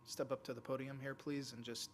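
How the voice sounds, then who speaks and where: A man's voice: medium pitch, level tone, a little fast. A man chairing a public meeting, giving brief procedural instructions to open a hearing, heard from a distance in a council chamber. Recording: distant voice with faint background noise.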